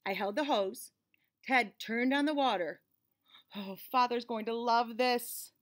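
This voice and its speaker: A woman in her forties giving an expressive, dramatized storybook read-aloud for children in a small room. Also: on the high side, measured pace. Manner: animated, clearly dramatized for children.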